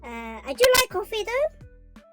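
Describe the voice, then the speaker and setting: A woman's voice: extremely high pitch, very animated, a little slow, loud music behind the voice. A young woman streaming her art from her bedroom, chatting casually and rambling with lots of fillers, her throat still a little sore from a cough. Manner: rambling and hesitant, with many fillers. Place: bedroom at home.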